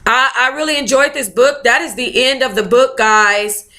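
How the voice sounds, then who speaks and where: Bright, high-voiced woman reading aloud. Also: moderate pace. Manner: sassy, informal, expressive asides. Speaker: book club host reading a memoir aloud. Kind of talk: audiobook-style reading with casual, chatty asides.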